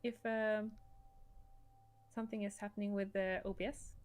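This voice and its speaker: A woman's voice: medium pitch, flat delivery, normal speed. A giggly, informal woman chatting casually as the host of a game livestream.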